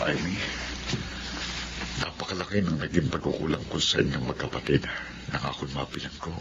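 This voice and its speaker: A man's voice: extremely low pitch, normal speed, loud music behind the voice. An actor playing a middle-aged, remorseful ex-convict father, pleading earnestly in a Filipino radio drama. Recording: dull lo-fi audio with loud noise.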